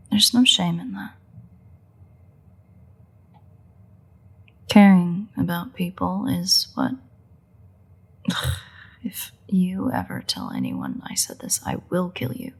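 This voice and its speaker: A woman playing a playful, sardonic, bloodthirsty but secretly caring vampire in a roleplay audio drama, with wry, husky, often breathy delivery. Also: lowish voice, full character voice. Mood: softer, grudging. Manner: wry, teasing, unhurried delivery with pauses.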